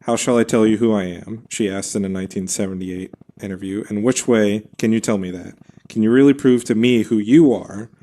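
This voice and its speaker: A man with a fairly low voice reads a scripted history story as podcast host. He is measured, stepping out for casual asides. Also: mellow. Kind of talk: reading a scripted history narration.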